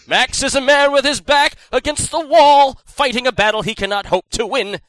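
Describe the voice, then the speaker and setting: A man's voice: very high voice, normal speed, fairly bright tone, dry recording. A young man reading game box copy in an overdramatic movie-trailer voice, hamming it up. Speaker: co-host.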